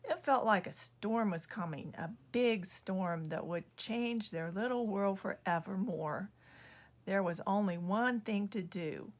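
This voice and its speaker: A woman reading her own children's book aloud as an expressive storyteller. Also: mid-range voice, unhurried.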